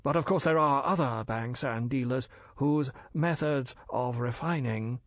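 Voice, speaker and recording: A man voicing a suave older Chinese merchant in an audiobook, bland and smiling, with measured, formal, politely evasive courtesy. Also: mid-range voice, no room sound, close to an everyday voice. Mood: bland, courteous.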